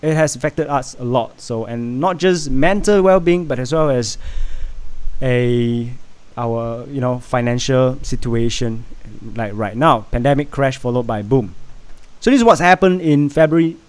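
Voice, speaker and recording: A man from Singapore, chatting casually on a home livestream and reading out chat, halting and full of fillers. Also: mid-range voice, animated. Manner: rambling, halting, many fillers and restarts. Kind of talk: casual live stream chat.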